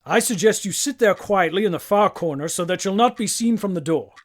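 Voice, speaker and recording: Man playing a smooth, high-voiced, menacing professor. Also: lively intonation. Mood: courteous. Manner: polite, unhurried, understated menace. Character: urbane, coolly menacing professor, secretly a foreign agent. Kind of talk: audio play acting. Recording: home-recorded audio play, faint insect-like hiss.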